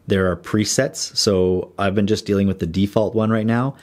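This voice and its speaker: A man explaining audio editing software in a steady screen-recorded tutorial voice-over. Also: on the low side, moderate pace.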